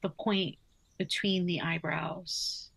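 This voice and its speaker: A woman leads a guided relaxation in a slightly low voice with very little rise and fall. She is soothing and unhurried, leaving long pauses between short, gentle instructions. Her delivery is very slow throughout. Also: little pitch movement, creaky, breathy edge, low-quality recording. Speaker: yoga nidra guide.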